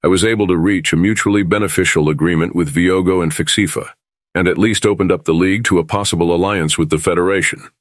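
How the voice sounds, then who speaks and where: Starship captain, male actor: very low voice, composed, reasonable negotiator. Close, dry sound, audio drama delivery. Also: steady tone, no room sound, lightly acted. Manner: measured, reasonable, conciliatory.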